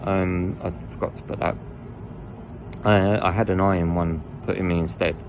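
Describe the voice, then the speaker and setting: A man with a croaky voice, telling his own story in a rambling way full of restarts and asides. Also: deep voice, flat delivery, normal speed, background noise. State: croaky voice from lying down. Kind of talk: candid personal storytelling. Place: indoors lying down at home.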